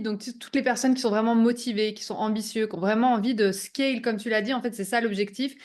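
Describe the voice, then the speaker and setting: A young woman from Switzerland, a business coach, giving an energetic online workshop over a video call and mixing English business words into her talk. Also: a little high. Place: remote video call from abroad.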